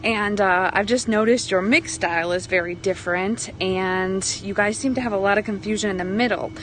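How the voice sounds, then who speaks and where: A woman in a recorded clip asks a cheerful, teasing question. Music plays clearly behind her. Her voice is mid-pitched. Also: steady tone, moderate pace. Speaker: fan and mixed doubles partner.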